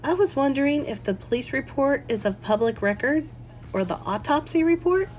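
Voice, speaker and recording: A woman's voice: sits high, expressive, moderate pace, a little mellow, soft background music, voice pushed up. A woman voicing an earnest, persistent and polite widow playing amateur sleuth in an audiobook, with a lilting, emphatic delivery. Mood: insistent. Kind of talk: audiobook character voice.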